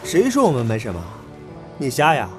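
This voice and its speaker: A man's voice: medium pitch, huge pitch swings, brisk, music in the background. A man playing a teasing, flippant young idol in a Chinese audio drama, talking in playful banter. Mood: playful, cheeky.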